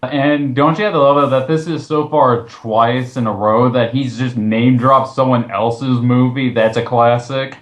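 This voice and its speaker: A man's voice: mid-range voice, steady tone, a little slow. A man co-hosting a review, giving conversational critical commentary in a questioning, wry way. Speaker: co-host, reviewer.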